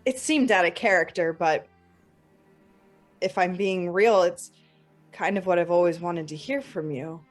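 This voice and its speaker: A woman's voice: fairly low pitch, measured pace, long pauses, faint music behind, natural voice. A young woman playing a resentful, wounded college student in a dramatic reading, blunt and confrontational. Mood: guarded, wounded.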